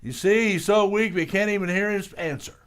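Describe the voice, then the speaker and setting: Man acting a high-pitched, blustering scheming radio-comedy dad. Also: a little fast, fairly bright tone. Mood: nervous. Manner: flustered, stammering, exclamatory. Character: blustering, scheming, hapless working-class father and husband.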